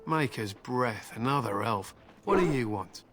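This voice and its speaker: Man acting a proud warrior over loud noise. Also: medium pitch, animated, measured pace, natural voice. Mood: curt, scornful. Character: proud, gruff male warrior, solemn fantasy storyteller. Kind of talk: fantasy video game acting.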